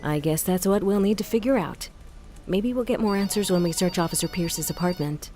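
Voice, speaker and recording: Female, slightly low voice, actress as composed inquisitive investigator, audio crime drama. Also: a little fast, dark tone, soft background music, natural voice. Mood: calm.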